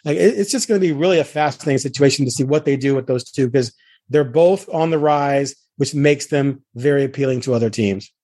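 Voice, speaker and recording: A man's voice: medium pitch, brisk, warm tone, dry recording. A man hosting a sports podcast, talking conversationally and emphatically, with punchy phrases and rhetorical questions. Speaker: podcast host, sports beat reporter.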